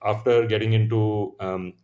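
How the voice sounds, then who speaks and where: An Indian man in his thirties with a very low voice tells his story in a relaxed interview. He talks in a thoughtful, meandering way, full of fillers and restarts. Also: normal speed, highs cut off. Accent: Indian English. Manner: reflective, many fillers and restarts. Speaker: founder, podcast guest.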